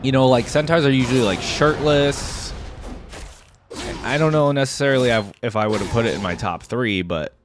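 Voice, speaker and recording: A man's voice: mid-range voice, moderate pace, over music. A man giving casual, informal reaction commentary as a video host, thinking aloud and at times reading out.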